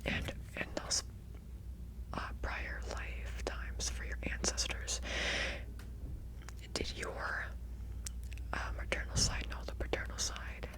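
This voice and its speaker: Female ASMR performer as a gentle witch tarot reader, fully whispered, breathy and reassuring. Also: slow pace, long pauses, rough recording. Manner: gentle, reassuring, checking in with listener. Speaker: ASMR roleplayer playing a witch.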